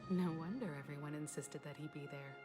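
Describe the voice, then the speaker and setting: An actress playing a gracious, assured starship navigator in a video game, gentle and composed. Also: female voice, deep voice, fast talker, over music, lightly acted. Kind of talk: game voice acting.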